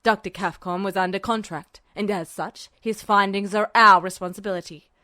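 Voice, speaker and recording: Woman putting on a gruff gravelly officer, low-pitched, menacing, commanding, dry close sound. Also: steady tone, moderate pace, sharp tone, dry recording, lightly acted. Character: gruff gravelly male major general, menacing. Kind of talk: podfic reading of fan fiction.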